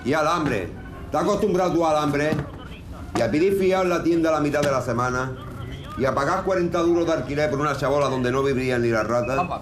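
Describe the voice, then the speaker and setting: Fast, bitter working man played by an actor, echoey. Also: a little high, noisy background, lightly acted. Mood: bitter, reproachful.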